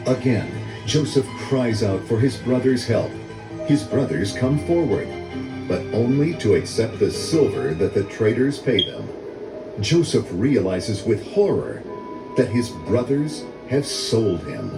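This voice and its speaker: An older man reading a children's story in a measured, dramatic storytelling manner. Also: medium pitch, sing-song. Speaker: read-along story narrator. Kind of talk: scripted children's story narration. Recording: roomy echo, music under voice. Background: orchestral music.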